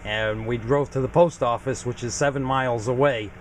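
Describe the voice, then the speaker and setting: A man's voice: mid-range voice, fairly even intonation, normal speed, a little hiss or hum. A man giving a casual, informal equipment walkthrough as a hobbyist video host, explaining things plainly. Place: home garage.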